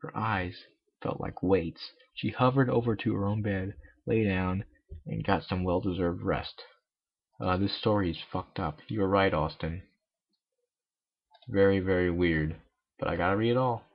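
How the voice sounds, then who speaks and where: A man's voice: sits low, a little slow, gravelly. A man reading a story aloud as an amateur, with sarcastic, joking commentary. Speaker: amateur fanfiction reader. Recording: dull lo-fi home recording.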